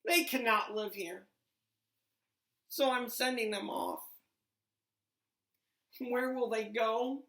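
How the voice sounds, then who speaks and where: A woman's voice: on the high side, slow pace, pauses often, a bit bright, voice pushed up. A woman voicing a small, grumpy male forest creature who scolds in rhyme. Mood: sorrowful scolding. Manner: indignant, scolding, rhythmic rhyming delivery. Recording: roomy echo.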